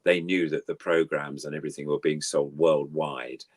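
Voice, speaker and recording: Deep-voiced older man, effusive. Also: little pitch movement, moderate pace, dry recording, clean studio sound. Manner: effusive, rambling. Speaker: guest puppeteer. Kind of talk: casual chat, reminiscing.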